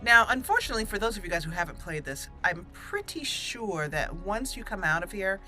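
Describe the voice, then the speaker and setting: Female let's play host, chatty and informal, forward bright tone, thinks out loud while playing. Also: mid-range voice, animated, fairly quick, faint music behind. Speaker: gaming channel host. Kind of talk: casual let's play commentary.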